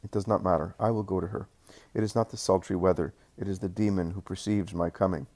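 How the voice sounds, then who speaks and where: A gentle, authoritative teacher, voiced by a man reading the story, speaks calmly and steadily. The voice sits low and unhurried. Every line is measured. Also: gravelly, no room sound. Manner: calm and measured.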